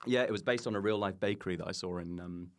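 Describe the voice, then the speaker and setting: A man's voice: low voice, fast talker. A British man chatting casually as a comedy podcast guest, in a rambling, enthusiastic way.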